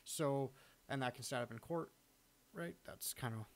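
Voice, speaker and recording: A man giving a casual, unscripted screen-recording walkthrough of his own software, halting as he thinks aloud. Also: mid-range voice, gravelly. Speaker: software hobbyist demonstrating own add-on. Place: indoors, at a computer recording the screen.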